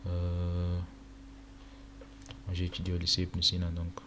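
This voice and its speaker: Young male tutor from Chad, first language Arabic, deep flat delivery, halting and casual, noisy home recording. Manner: casual, halting, many fillers. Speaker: tutorial presenter. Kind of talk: screen-recorded walkthrough. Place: indoor room at a computer.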